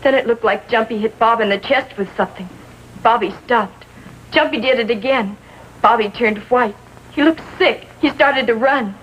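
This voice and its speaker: An American woman playing a distraught, lovestruck sixteen-year-old girl in a 1950s TV drama, speaking in short, halting sentences. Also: fairly high pitch, fairly bright tone, lightly acted. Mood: shaken recounting. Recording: faintly noisy vintage TV soundtrack.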